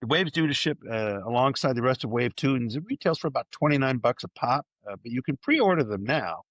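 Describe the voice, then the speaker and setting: A man with a mid-pitched voice that moves around with great animation as he hosts a podcast news segment. He is playful and enthusiastic, dropping wry asides into a partly scripted delivery. The sound is dry and close. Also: expressive, a little fast, no room sound, highs cut off. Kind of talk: lively fan news presentation, partly scripted.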